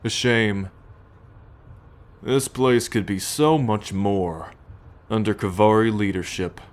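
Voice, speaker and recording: A man playing a possessive, haughty alien soldier in an ASMR roleplay, speaking in a measured, imperious way with musing hums and sighs. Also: fairly low pitch. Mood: proud, musing. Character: possessive male alien soldier, haughty, teasing, coolly menacing captor. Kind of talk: ASMR roleplay acting, addressed to the listener.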